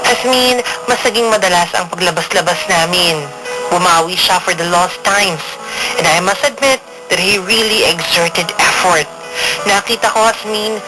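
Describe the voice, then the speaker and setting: An actress with a Filipino accent playing a lovelorn young woman who earnestly narrates her own story, as in a radio drama letter. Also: lightly acted. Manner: confiding, earnest, switches between Tagalog and English. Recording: low-quality radio capture with music underneath.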